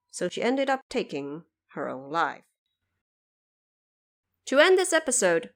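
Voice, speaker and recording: Female podcast host, scripted commentary. Highly varied intonation, medium pitch, rhetorical delivery. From Cantonese-speaking region.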